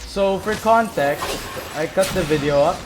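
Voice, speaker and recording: A man's voice: high voice, normal speed, background noise. A man giving casual gameplay commentary, with offhand, muttered reactions. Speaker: gaming video host. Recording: loud game audio behind the voice. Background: video game music and dialogue.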